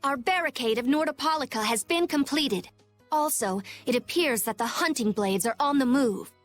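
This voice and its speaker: A woman playing a brisk, dutiful young female knight in a dubbed anime game, reporting formally and urgently. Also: high-pitched, faint music behind, close to an everyday voice.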